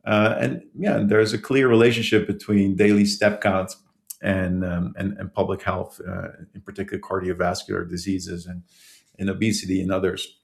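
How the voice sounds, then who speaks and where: A man's voice: sits low, flat delivery, moderate pace, creaky. A man from the Netherlands, a guest author, answering interview questions in a hesitant way with many restarts and small laughs. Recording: roomy echo.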